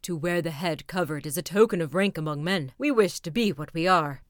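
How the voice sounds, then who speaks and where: A woman's voice: on the low side, a little fast, no room sound, studio quality, lightly acted. A woman voicing a proud, solemn young twin prince in a fairy tale, speaking formally and declamatorily. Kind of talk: storybook character voice.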